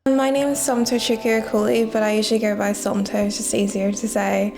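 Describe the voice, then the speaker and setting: A woman's voice: medium pitch, flat delivery, a little fast, gravelly, darkish tone, soft background music. A nineteen-year-old woman from Nigeria, reflecting in a casual interview and saying "like" a lot. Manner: heavy use of "like" as filler, reflective. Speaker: guest.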